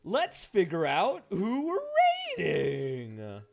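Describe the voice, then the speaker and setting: A man's voice: a little high, huge pitch swings, slow pace, creaky. A man doing casual live game-stream commentary, rambling playfully with dry asides. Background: music.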